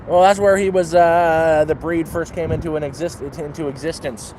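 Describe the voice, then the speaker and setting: A man's voice: on the high side, steady tone, moderate pace, a little mellow, rough recording. A man with a Toronto accent, chatting in a rambling way while he walks through the city. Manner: rambling, chatty, talks while walking outdoors. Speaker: vlogger. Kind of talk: casual walking vlog talk. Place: city street outdoors. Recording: faint street and traffic noise.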